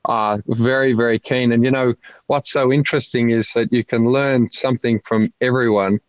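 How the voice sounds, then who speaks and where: A man hosts a casual interview show, eager and upbeat in how he welcomes and questions his guest. His voice sits at an ordinary middle pitch. The sound is dry and a bit dull, with no room around it. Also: steady tone, moderate pace, no echo. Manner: upbeat and enthusiastic, with some restarts. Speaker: podcast host.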